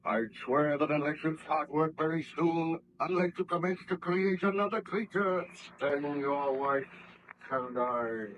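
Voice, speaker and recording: An actor playing a vengeful, lonely man-made monster in old radio horror, speaking slowly and grandly with menace. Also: on the high side, lightly acted. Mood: menacing. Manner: slow, grandiose, menacing delivery. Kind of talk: 1930s radio horror acting. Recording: muffled, noisy old radio recording.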